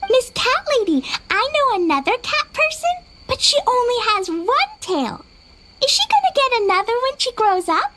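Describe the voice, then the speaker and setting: A woman's voice: extremely high pitch, very animated, normal speed, very noisy. A woman voicing a sweet, eager, innocent little girl in a video game, with a chirpy, childlike delivery. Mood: curious.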